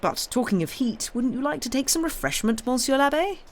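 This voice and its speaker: A man's voice: very high voice, expressive, fairly quick, pitched above the performer's own voice. A man voicing a fawning, garrulous innkeeper who stammers and complains as he serves, in an audiobook. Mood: chatty, ingratiating. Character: fawning, garrulous, self-pitying male French innkeeper.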